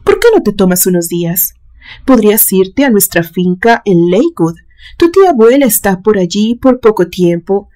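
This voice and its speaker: A soft-spoken, soothing father , acted out by a woman narrator in a suspense storybook. He is gentle and measured, coaxing his grown son with patient care. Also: medium pitch, lively intonation, close to an everyday voice. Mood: gentle, consoling.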